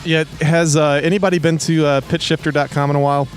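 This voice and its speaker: A man's voice: a little high, level tone, quick pace. A man co-hosting a podcast, talking casually and emphatically about music. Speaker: podcast co-host.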